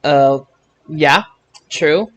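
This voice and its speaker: A man hosting a casual wrestling review podcast, speaking haltingly with stammered restarts. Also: fairly high pitch, fairly bright tone. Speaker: podcast host.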